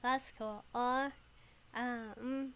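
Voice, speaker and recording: A woman with a high voice streams a game in a casual, rambling way. Her accent is American with a very faint foreign tinge from native Mandarin, and her delivery is hesitant and giggly. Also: fairly even intonation, extremely slow, a little hiss or hum. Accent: American with a very slight foreign tinge. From United States, moved there very young. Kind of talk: casual live game commentary.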